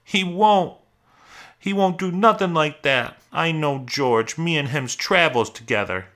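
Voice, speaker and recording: A man's voice: a little high, animated, measured pace, pushed and bright, voice pushed up. A man voicing a simple-minded, childlike big man in a storybook, anxious and pleading, with rustic, ungrammatical talk. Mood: defiant, upset.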